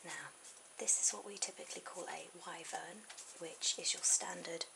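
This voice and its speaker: A woman gives a drawing tutorial in a soft, breathy ASMR whisper. Her voice sits on the low side with a creaky edge, and she pauses informally mid-thought. The amateur camera audio is very noisy. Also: steady tone.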